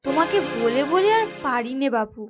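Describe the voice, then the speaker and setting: A woman acts out a fisherman's sharp-tongued wife in a cartoon folk tale. Her voice is high and dramatic, forever fretting and scolding. The recording is a little dull, with music beneath. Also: animated, normal speed. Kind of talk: animated folk tale dialogue. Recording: dull lo-fi sound, music underneath.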